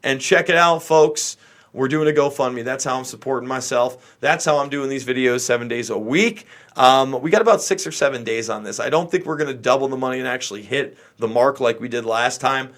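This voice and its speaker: A man's voice: mid-range voice, a little fast, a bit bright. An American man doing off-the-cuff livestream political commentary, talking to viewers in an opinionated, exasperated, emphatic manner.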